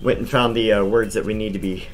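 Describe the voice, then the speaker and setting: A woman's voice: very deep voice, fairly even intonation, fast, soft background music. A woman co-hosting a gaming stream, talking casually. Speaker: game streamer co-host. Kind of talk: casual chat.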